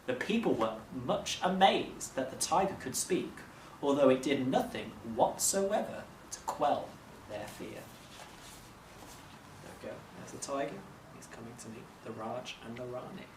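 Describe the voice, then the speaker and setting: A man narrating a children's story expressively, like a teacher reading aloud, with measured dramatic phrasing. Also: medium pitch, animated. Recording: roomy, distant mic, faint noise.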